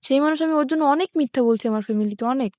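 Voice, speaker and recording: High-voiced Bangladeshi woman telling her story. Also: very fast, a little mellow, dry recording, studio quality. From rural Bangladesh. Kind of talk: personal life-story narration in a radio interview.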